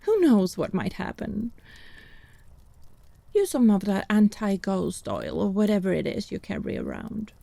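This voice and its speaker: A woman's voice: medium pitch, wildly swooping pitch, unhurried, vocal fry, warm tone, no room sound, heavily acted. A woman playing a wry, irreverent witch and healer in a fantasy audio drama, wordy and sardonic. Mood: dry, playful. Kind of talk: fantasy audio roleplay acting.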